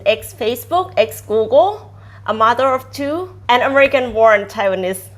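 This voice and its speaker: A woman's voice: on the high side, animated, moderate pace. A woman hosting an interview, introducing her guest and asking short questions. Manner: brief questions and short backchannels. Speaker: interview host. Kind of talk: casual interview conversation.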